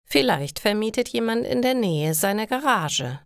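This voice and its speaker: A woman voicing a practical older mother who advises her grown daughter, in a sensible, deliberate storybook manner. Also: medium pitch, expressive, no room sound, studio quality, pitched above the performer's own voice. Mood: reassuring.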